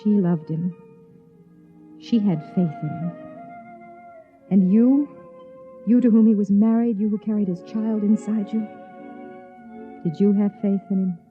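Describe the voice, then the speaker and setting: Actress as anxious young wife, tinny old radio. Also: female voice, medium pitch, measured pace, long pauses, close to an everyday voice. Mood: wistful narration. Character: anxious pregnant young wife narrating, stubborn, guilt-ridden. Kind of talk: 1950s radio drama acting. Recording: tinny old radio broadcast, music behind.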